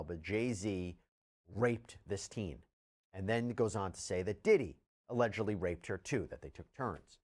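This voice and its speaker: A man hosting a legal news show, explaining and reading out documents in an articulate, emphatic, formal manner. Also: fairly low pitch. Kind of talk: scripted news narration and legal commentary.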